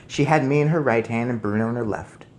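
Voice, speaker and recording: A man's voice: medium pitch, fast talker, darkish tone, a little hiss or hum. A man narrating a children's story expressively as he reads aloud. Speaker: storybook reader.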